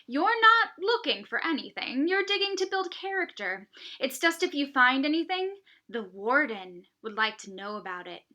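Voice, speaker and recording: Woman reading as a brisk, high-pitched camp counselor. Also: very animated, sharp tone, heavily acted. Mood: instructive, firm.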